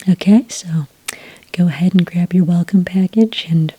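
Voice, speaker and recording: A woman playing a friendly, helpful witch clerk at a magic academy in a soft-spoken, unhurried, whispery ASMR roleplay. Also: on the low side, husky, no echo. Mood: helpful, reassuring.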